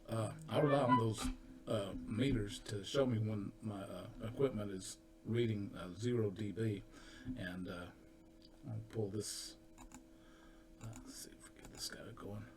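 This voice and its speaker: An older man casually demonstrating his audio gear, explaining in a halting, unhurried way with pauses. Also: fairly low pitch, music in the background. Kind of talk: casual hands-on equipment demonstration. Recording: noisy home recording with equipment tones. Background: steady test tones at times.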